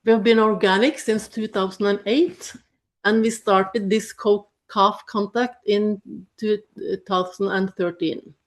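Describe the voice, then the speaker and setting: A woman from Norway with a Norwegian accent, a dairy farmer presenting in a webinar in halting, friendly English, often breaking into small laughs. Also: mid-range voice, steady tone. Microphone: video call.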